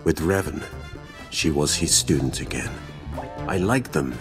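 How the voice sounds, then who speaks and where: A very low-pitched, ancient Sith lord from a video game, proud and grim. He speaks formally and solemnly, with cold disdain, over background music. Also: lively intonation, measured pace, heavily acted. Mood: solemn recounting. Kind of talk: dramatic video game voice acting.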